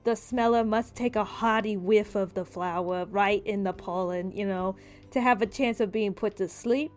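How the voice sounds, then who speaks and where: A woman's voice: medium pitch, normal speed, faint music behind. A woman improvising as game master and explaining things conversationally in a tabletop roleplaying game. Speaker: game master voicing a shopkeeper in a tabletop RPG podcast.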